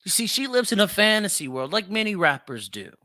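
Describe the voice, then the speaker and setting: A man's voice: sits high, very animated, brisk, sharp tone, no room sound, studio quality. A man hosting a solo opinion podcast, speaking emphatically and repeating phrases for effect. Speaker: podcast host.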